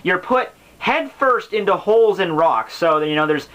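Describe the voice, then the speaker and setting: A man's voice: high voice, animated, normal speed, fairly bright tone. A man giving casual, rambling commentary on a classic book as host, informal and slangy, with profanity and joking asides.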